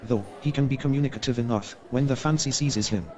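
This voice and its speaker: An actor playing a courteous, gossipy Victorian gentleman in a staged radio play, formal and measured in his hesitant explanations. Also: mid-range voice, dull audio, a faint crowd, lightly acted. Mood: courteous, hedging.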